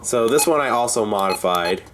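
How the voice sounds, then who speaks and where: A man's voice: lowish voice, lively intonation, moderate pace, heavy background noise. A man giving a casual, rambling tour of a hobby collection, explaining things informally as he goes. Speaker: hobbyist presenting a radio collection.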